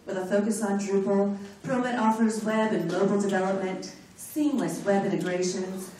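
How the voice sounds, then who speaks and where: A woman announcing sponsors at a conference, loud and playful, joking between the scripted parts. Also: mid-range voice, moderate pace, recorded from a distance. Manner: loud, animated, joking asides. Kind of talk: playful live announcements to an audience. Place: large conference room with an audience. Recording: echoey hall recorded from afar, crowd noise.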